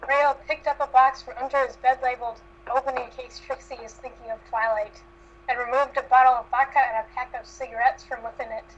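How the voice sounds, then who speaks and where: A woman's voice: high-pitched, flat delivery, brisk, muffled recording, a little hiss or hum. A woman narrating fan fiction aloud as an amateur reader.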